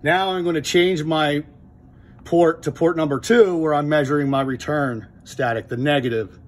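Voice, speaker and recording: A man's voice: fairly high pitch, fairly even intonation, normal speed. A middle-aged man teaching HVAC in a training room, explaining step by step with plain, methodical talk and frequent restarts. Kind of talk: hands-on technical demonstration. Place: indoor training room with a furnace.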